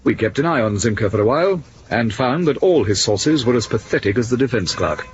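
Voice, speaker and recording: An actor playing an urbane, evasive secret service officer in a radio drama, drily condescending and smug. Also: male voice, medium pitch, expressive, fairly quick, highs cut off, slight background noise, natural voice.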